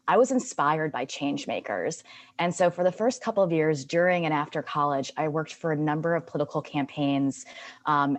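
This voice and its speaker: A woman in her thirties with a low voice, speaking as a founder on a webinar panel. She talks in long, earnest, connected sentences over a video call.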